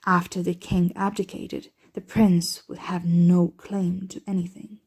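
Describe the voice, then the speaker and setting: A woman playing a protective, doting yet anxious vampire mistress in an ASMR roleplay, breathy and often whispering, with formal, measured diction. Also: fairly low pitch, little pitch movement, darkish tone. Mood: frustrated, weary.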